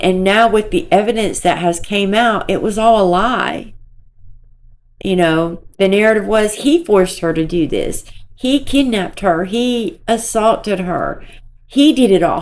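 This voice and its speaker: A woman with a self-described squeaky voice talks straight to her viewers in a loose, rambling way. Her pace is unhurried and drawn out, and her pitch sits in an ordinary middle range. She circles back over her points with soft fillers. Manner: rambling, repeats herself, soft filler-laden talk. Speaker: commentary creator. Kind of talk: casual commentary to viewers.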